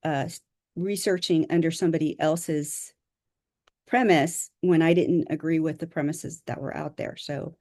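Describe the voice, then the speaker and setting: A woman from Southern California, an author introducing herself on a video call, speaking modestly and haltingly with fillers. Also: deep voice, steady tone, darkish tone, no room sound, studio quality. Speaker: author presenting a workshop.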